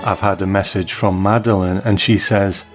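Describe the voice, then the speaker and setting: A man with a fairly low voice reads listener mail as a podcast host. His delivery is measured, and music plays behind him. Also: little pitch movement. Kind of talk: reading out listener messages.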